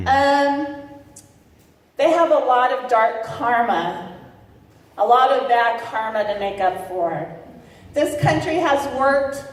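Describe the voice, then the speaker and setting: A woman's voice: a little high, a little hiss or hum. A woman giving a keynote speech at a political convention. She talks off the cuff and bluntly, with fillers and restarts, and laughs between her lines. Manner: speaks hesitantly with many fillers and restarts. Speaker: keynote speaker. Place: convention hall. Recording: echoey hall from far off.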